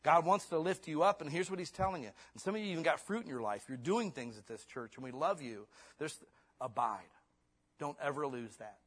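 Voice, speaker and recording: A man in his fifties preaching a sermon in church. He swings between hushed, intimate lines and fervent, rising exhortation, then closes in prayer. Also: fairly high pitch, lively intonation, a little fast, breathy edge. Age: 50s. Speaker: pastor.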